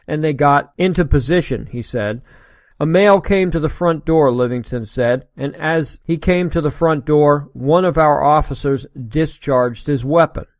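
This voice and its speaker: A man's voice: on the high side, no room sound. Give me an American male podcast host with a dry, close sound who reads the news with indignant sarcasm. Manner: sarcastic, indignant asides between read passages. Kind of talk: casual solo commentary reading an article.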